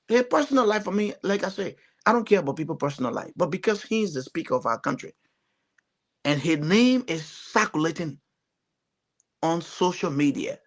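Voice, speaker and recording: A man from Liberia with a Liberian accent, giving earnest, emphatic political commentary as a talk show panelist, often repeating phrases. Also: high-pitched.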